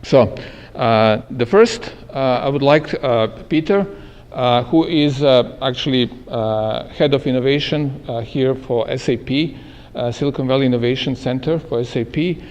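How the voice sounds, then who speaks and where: A man moderating a panel at a live event, speaking haltingly with many filler sounds. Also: mid-range voice, darkish tone, dull audio. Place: meeting room at an event. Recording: echoey hall with long reverb.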